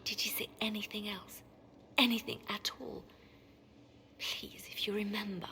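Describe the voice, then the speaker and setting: An actress playing a grave, devout spymaster in a game cutscene, with a measured, solemn delivery that is hushed at times. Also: female voice, medium pitch, fairly even intonation, in a whisper. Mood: grieving. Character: grave, devout female spymaster grieving her mentor. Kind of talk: video game cutscene drama. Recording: long reverb, audible background ambience.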